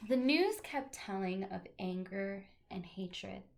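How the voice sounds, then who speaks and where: A woman teacher reading a picture book aloud to young children, with deliberate phrasing and chatty asides to her listeners. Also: medium pitch, expressive, creaky, a little hiss or hum.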